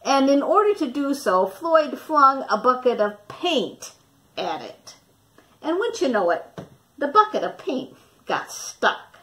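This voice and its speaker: A woman with a high voice reads a picture book aloud to children. Her pitch swings in a lively way as she leaves dramatic pauses and puts questions to her young listeners.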